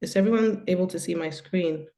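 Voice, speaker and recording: A woman's voice: lowish voice, fairly quick, warm tone. A woman with a cold and a nasal voice, giving a hesitant online presentation over a video call. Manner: hesitant, many fillers. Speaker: guest speaker.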